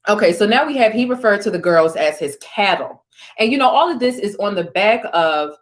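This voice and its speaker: A woman hosting an online news stream, reading screenshots aloud and commenting in a conversational, informal way. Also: medium pitch. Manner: conversational, informal, with asides and reactions.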